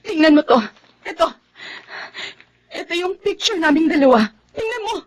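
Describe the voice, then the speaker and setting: A woman's voice: extremely high pitch, lively intonation, moderate pace, a little mellow. A woman playing a heartbroken, bitter young jilted woman in a Tagalog radio drama, tearful and indignant.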